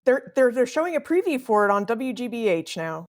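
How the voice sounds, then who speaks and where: A woman from New England with a mild northeastern US accent, chatting brightly as a guest historian on a podcast livestream. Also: fairly high pitch, sing-song, brisk. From New England, USA. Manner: bubbly, chatty. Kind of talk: casual livestream chat.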